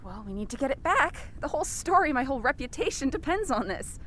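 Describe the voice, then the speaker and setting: Woman acting earnest female journalist, highish pitch, emphatic, righteous, audio drama, slight background noise. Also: big pitch swings, fast talker, a bit bright. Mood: determined. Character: earnest, indignant young female reporter, easily outraged.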